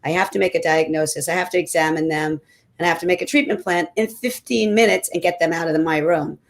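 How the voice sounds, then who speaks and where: A woman in her sixties, a doctor on a panel, talking in an energetic, emphatic way. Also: on the low side, fast talker. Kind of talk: panel discussion answer.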